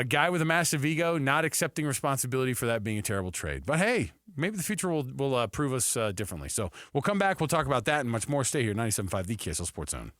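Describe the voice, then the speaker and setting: American guy doing sports radio banter, really lively pitch swings, forceful voice. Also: no echo, studio quality. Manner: animated, emphatic, conversational.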